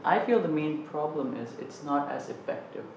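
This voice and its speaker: A man's voice: fairly high pitch, expressive, normal speed, creaky, darkish tone, slight background noise. A man on a student panel making an earnest, argumentative case. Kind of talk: opinionated discussion. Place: studio with panel on a couch. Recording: echoey, slightly dull studio sound.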